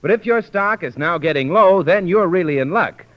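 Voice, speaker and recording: A man announcing a scripted 1930s radio commercial as a polished sales pitch. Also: lively intonation, moderate pace. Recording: dull 1930s radio recording.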